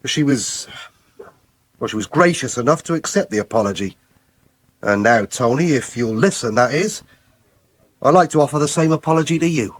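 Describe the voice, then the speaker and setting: A man playing an earnest, stiff working countryman in a radio soap, with an English accent and a husky voice. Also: mid-range voice, lively intonation, normal speed, pauses often, dry recording, lightly acted. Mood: contrite, stiff. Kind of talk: naturalistic radio soap acting.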